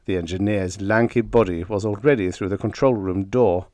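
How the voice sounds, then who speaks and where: Low-voiced man narrating dramatically. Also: normal speed, mellow, no echo, studio quality. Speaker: audiobook narrator. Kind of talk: dramatic scripted narration of pulp fiction.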